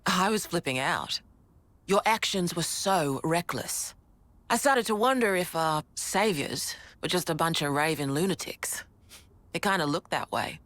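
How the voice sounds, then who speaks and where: A woman's voice: lowish voice, expressive, a little slow, fairly bright tone, no echo. A woman playing a confident resistance leader in a game, speaking in a colloquial, wry and authoritative way. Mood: frank, wry. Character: confident, frank, easygoing female resistance leader.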